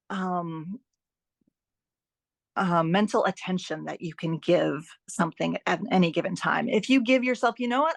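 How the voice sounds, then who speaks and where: A woman's voice: mid-range voice, a bit bright, no room sound. Emphatic woman giving encouraging advice, dry recording. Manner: emphatic, repeats phrases in lists for stress. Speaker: guest author and fiction editor. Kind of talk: podcast interview, giving practical advice.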